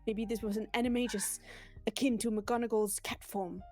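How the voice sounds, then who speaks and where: A woman's voice: on the high side, steady tone, normal speed, a little mellow. A woman reading a comic fan story aloud in a dramatic narrating style on a livestream. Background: light music.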